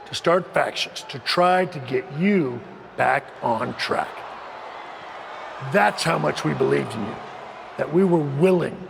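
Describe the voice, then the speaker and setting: Gravelly, exasperated veteran wrestling boss. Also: male voice. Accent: American. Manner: emphatic, scolding. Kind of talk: wrestling promo acting. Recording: booming arena reverb, faint noise.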